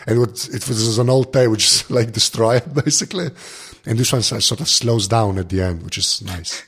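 A tired man with a gravelly voice chatting casually as a podcast guest, rambling in an informal, self-deprecating way. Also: lowish voice, normal speed, fairly bright tone. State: tired, barely awake. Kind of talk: casual chat.

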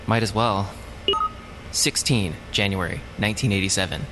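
A man playing a polar scientist under loud noise. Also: lowish voice, moderate pace, close to an everyday voice. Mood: calm, weary. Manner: dry joking, then frantic mayday cries. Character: male Antarctic research scientist, steady then terrified.

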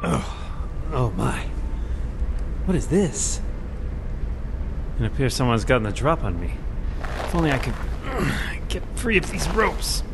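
An actor playing a dry, declamatory crime-fighting hero in a parody old-time radio drama. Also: male voice, medium pitch, very animated, slow pace, long pauses. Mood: self-narrating, tense. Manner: dry, declamatory, narrates his own actions. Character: male masked pulp crime-fighter, brisk, dryly melodramatic. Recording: echoey, noisy, reverberant.

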